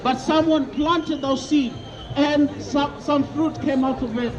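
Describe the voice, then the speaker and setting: Street preacher, man from Kenya, very high voice, dull lo-fi sound, open-air square. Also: steady tone, a little slow. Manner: repeats questions to passers-by, quotes scripture. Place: outdoors in a busy city square. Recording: lo-fi outdoor recording with crowd noise.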